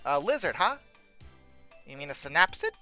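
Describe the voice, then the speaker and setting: Exasperated comedic host, sarcastic and casual, very lively intonation, bright voice, close dry sound over faint music. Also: mid-range voice, normal speed. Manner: exasperated, sarcastic, incredulous asides. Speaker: host reacting to old book text and pictures. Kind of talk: casual comedic commentary with read-aloud passages.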